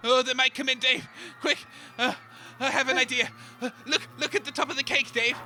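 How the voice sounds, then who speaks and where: A man playing a flustered, excitable adventurer in a comic audio drama, stammering in a breathless, theatrical way. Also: very high-pitched, fairly quick, a little hiss or hum, full character voice. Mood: urgent scheming.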